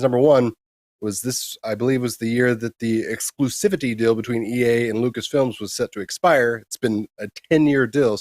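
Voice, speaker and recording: A man's voice: fairly low pitch, a little mellow, no room sound, clean studio sound. A man co-hosting a casual news show, explaining things informally and giving his opinions. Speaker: co-host of a gaming news show.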